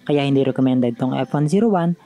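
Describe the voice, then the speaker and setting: A man's voice: medium pitch, fairly quick, mellow. A man with a Filipino accent giving a conversational, scripted voice-over as a tech review vlogger.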